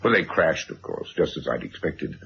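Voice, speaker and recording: An actor playing a brusque, middle-aged self-made businessman in a radio drama, gravelly and emphatic, often starting hesitantly. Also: very deep voice, lively intonation, normal speed, heavily acted. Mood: dismissive. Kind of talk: 1970s radio drama acting. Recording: dull, noisy old radio recording.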